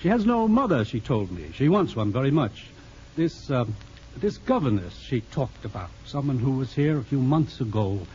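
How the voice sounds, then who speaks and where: Casting: man playing thoughtful physician, mellow and expressive, old radio play, muffled hissy recording. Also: medium pitch, normal speed, close to an everyday voice. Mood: earnest, reassuring. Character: kindly, tactful physician, earnest and thoughtful. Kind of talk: 1950s radio drama acting.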